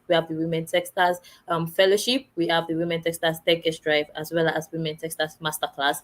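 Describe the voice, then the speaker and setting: A woman explaining a training program, listing its courses one by one. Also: on the low side. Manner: steady, lists items one by one. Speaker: program presenter. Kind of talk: informational explanation.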